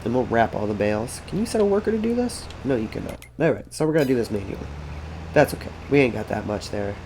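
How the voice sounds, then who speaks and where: A man's voice: medium pitch, big pitch swings, fast, a little mellow, a little hiss or hum. A congested, sniffly man giving rambling, casual commentary while playing a farming game, thinking aloud to himself and his chat. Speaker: gaming streamer.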